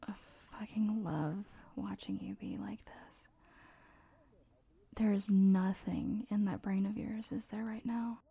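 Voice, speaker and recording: Slow, breathy whispering woman. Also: mid-range voice, steady tone, no echo. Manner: mostly whispered; teasing and commanding, with soft laughs. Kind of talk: whispered audio roleplay. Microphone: close mic.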